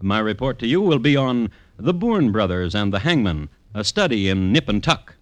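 An actor playing an urbane, droll crime connoisseur who narrates old murders in a deliberate 1950s radio style. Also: male voice, lowish voice, very animated, no room sound. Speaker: host and narrator.

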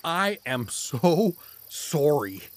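A man's voice: fairly high pitch, very animated, extremely slow, heavy background noise, exaggerated voice. A man playing a bumbling, good-natured married everyman hero, hesitant and folksy, in a fantasy comedy audio drama. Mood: flustered, friendly.

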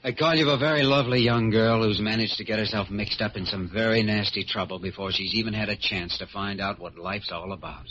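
Earnest, bright-voiced young doctor played by a man. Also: lowish voice, steady tone, a little fast, few pauses, lightly acted. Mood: earnest, pleading. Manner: earnest, persuasive. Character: earnest, compassionate young male hospital doctor. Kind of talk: old-time radio acting. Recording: muffled, hissy vintage radio recording.

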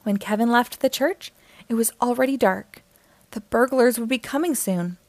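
Female storybook reader for young kids, higher-pitched voice, close dry recording. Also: moderate pace, a little mellow, dry recording. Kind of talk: read-aloud story narration for young children.

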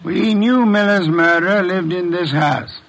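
Bright-voiced, pompous gentleman detective. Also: sits high, a little slow, vocal fry, exaggerated voice, poor audio. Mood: explaining, impatient. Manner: precise, imperious, formal diction. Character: pompous, brilliant, exacting middle-aged gentleman detective. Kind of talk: classic radio mystery acting.

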